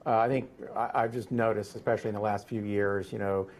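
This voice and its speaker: A man hosting a conversational podcast interview, phrasing things in a halting, roundabout way. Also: fairly low pitch, steady tone, a little hiss or hum.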